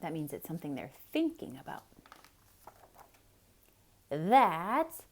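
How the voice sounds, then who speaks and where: A woman reading a picture book aloud to children in an expressive, theatrical way. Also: medium pitch, takes it slowly, slight background noise. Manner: expressive, dramatic, explaining things to children. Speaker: children's storyteller.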